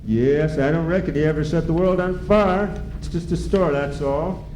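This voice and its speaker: A man's voice: a little high, normal speed, a little mellow, soft background music, natural voice. A man playing a kindly, curious old farmer with a rural American accent in an old-time radio serial. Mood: amused, advising. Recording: roomy ballroom, faint noise.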